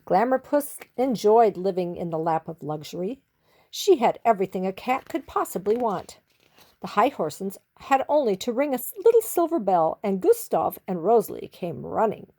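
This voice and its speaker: Animated woman storyteller. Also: medium pitch. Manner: theatrical, with drawn-out emphasis on key words. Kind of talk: expressive children's story narration.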